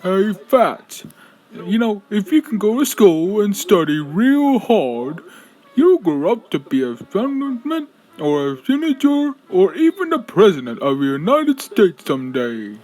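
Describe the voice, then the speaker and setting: Man doing a high, swooping cartoon bear. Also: very animated, unhurried, a little mellow, full character voice, low-quality recording. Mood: coaxing. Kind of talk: cartoonish animatronic-show fandub.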